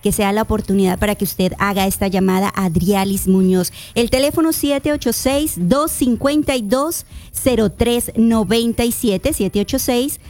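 A woman co-hosting a morning radio show, reading phone numbers slowly and clearly. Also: mid-range voice, a little mellow. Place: radio studio.

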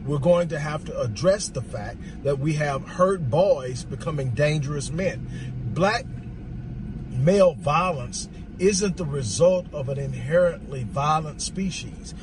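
A hoarse man gives an emphatic, off-the-cuff monologue on the move, repeating his rhetorical phrases. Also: a little high, lively intonation, a little slow, recorded from a distance, background noise. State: hoarse from talking. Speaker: vlogger giving social commentary. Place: on the move, outdoors near traffic.

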